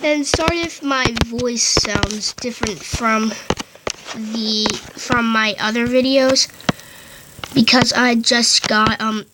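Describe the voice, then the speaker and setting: A woman narrating a casual game tutorial into a headphone mic, often stopping to correct herself. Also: a little high. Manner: halting, frequent self-corrections and restarts. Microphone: headphones with built-in mic. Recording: loud background noise on headset mic.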